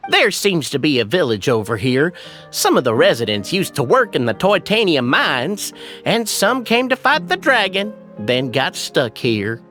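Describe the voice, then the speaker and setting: A woman's voice: deep voice, sing-song, a little slow, fairly bright tone, soft background music, exaggerated voice. A woman voicing a loyal male attendant in a fantasy video game, formal, deferential, earnest and theatrical. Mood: polite, informative. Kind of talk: animated video game character acting.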